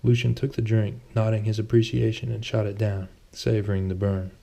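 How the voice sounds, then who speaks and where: A man narrates a story in audiobook style. His voice sits low, with plenty of gravelly fry and a soft, mellow tone. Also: level tone, brisk. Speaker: author reading his own novel.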